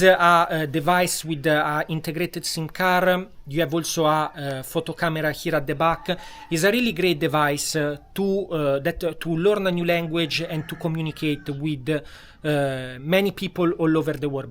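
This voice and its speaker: A man with an Italian accent, a vlogger talking casually at home with many fillers and restarts. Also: on the high side. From Italy. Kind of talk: casual vlog talk. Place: home in a tropical town.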